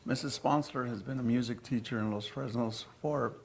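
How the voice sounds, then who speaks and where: A man's voice: medium pitch, moderate pace, creaky, slight background noise. A man, a school district official, introducing a presentation at a board meeting. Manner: relaxed, plain, with fillers. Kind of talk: formal meeting remarks.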